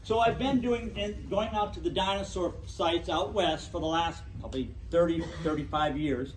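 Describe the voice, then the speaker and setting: A middle-aged man lectures to a club in a loud, projecting voice that sits a little high. The mic is some distance away, so his voice echoes around the meeting room, with faint noise underneath. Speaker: guest speaker.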